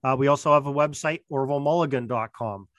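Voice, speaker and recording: A man speaking as a podcast guest, explaining things in a halting, earnest, casual way. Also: mid-range voice, a bit bright, dry recording, highs cut off. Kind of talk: casual interview chat.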